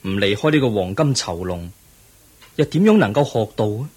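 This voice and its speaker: An actor with a Hong Kong Cantonese accent playing a pensive, earnest young crown prince in a radio drama, speaking formally and reflectively. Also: male voice, lowish voice, lively intonation, moderate pace, darkish tone, husky. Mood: brooding.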